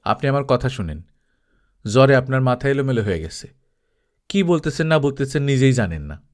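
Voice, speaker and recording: A man voicing a hard, bitter young stage actress in a story reading, with a sharp, insistent, colloquial manner. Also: mid-range voice, lively intonation, normal speed, natural voice. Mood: firm, questioning.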